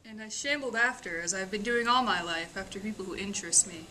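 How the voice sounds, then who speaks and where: A woman, a student, giving an expressive amateur dramatic reading of a novel that builds to emphatic passages. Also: medium pitch, a little fast, noisy background. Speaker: student reader.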